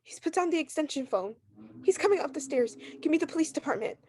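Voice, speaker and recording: High-voiced, frightened neurotic invalid wife played by an actress. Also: female voice, expressive, fast talker, darkish tone. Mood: terrified, desperate. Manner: insistent, nervous, overwrought. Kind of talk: 1940s radio drama acting.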